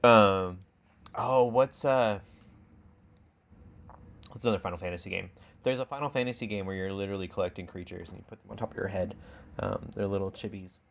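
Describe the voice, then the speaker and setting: A man's voice: deep voice, fairly even intonation, a little fast, vocal fry, pauses often. A man co-hosting a podcast, chatting casually about video games in a playful, sarcastic way. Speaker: podcast co-host.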